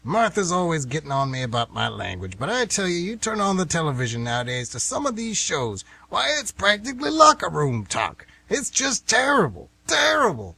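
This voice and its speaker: A man's voice: fairly high pitch, huge pitch swings, normal speed, vocal fry, hardly any pauses, sharp tone, breathy edge, no room sound, full character voice. An actor with an American accent playing an indignant, stubborn older man, folksy and emphatic, in a radio play. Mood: scornful. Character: stubborn, indignant old male former baseball fan.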